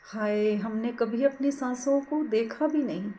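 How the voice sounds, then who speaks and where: A woman leading a guided meditation, giving soothing, gentle, unhurried instructions. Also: fairly high pitch, rough recording. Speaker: meditation teacher.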